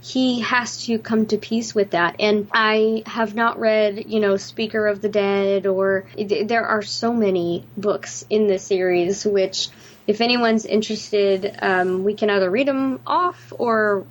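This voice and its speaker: A woman's voice: mid-range voice, fairly even intonation, measured pace. A woman co-hosting a podcast, talking casually and giving her opinions in an informal discussion. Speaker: podcast co-host.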